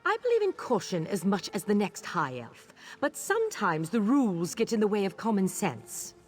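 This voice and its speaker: An actress playing a haughty, impatient high elf artist in a video game, fussy and precise. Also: female voice, sing-song, moderate pace, darkish tone, husky. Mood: aggrieved. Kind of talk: video game character dialogue. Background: game music.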